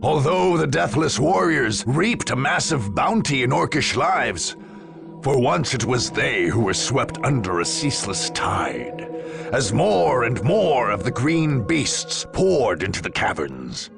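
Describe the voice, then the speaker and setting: A man's voice: mid-range voice, very animated, slow pace, vocal fry, full character voice. A man playing a grave chronicler, giving scripted, theatrical narration of a grim war for a video game, with music behind him. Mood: grave, dramatic.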